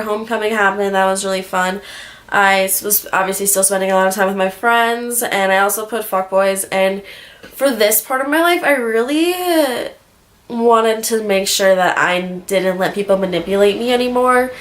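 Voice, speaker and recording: 20 yr old girl doing a rambly casual vlog, super candid, lots of slang and cursing, normal mid pitch. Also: fairly even intonation, normal speed, fairly bright tone. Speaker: vlogger. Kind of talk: casual rambling personal vlog, chatty storytelling.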